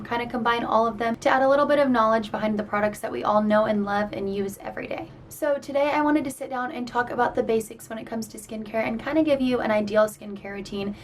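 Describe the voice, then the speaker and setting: A young woman in her twenties, a skincare specialist, explaining a routine in a chatty way with a scratchy, tired voice. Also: on the high side. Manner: chatty, explanatory, uses "y'all" and "kinda". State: scratchy, partly lost voice. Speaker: licensed esthetician and skincare vlogger. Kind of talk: casual talk to camera. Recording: slightly distant mic with faint background noise.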